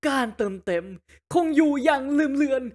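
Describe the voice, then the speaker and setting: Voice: high-pitched, expressive, a little fast. A voice actor playing a haughty, theatrical immortal villain with a grandiose, mocking delivery in a drama demo. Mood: anguished, grand. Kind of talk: character voice-acting demo.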